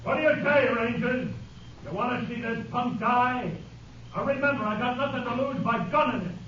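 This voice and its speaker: An actor playing a desperate outlaw who holds a hostage and taunts the lawmen, in 1950s radio drama style. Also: male voice, extremely high pitch, normal speed, fairly bright tone, recorded from a distance, a little hiss or hum. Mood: menacing. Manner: taunting, menacing. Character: cornered male outlaw holding a hostage, sneering and desperate. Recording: dull old radio recording with echo from afar.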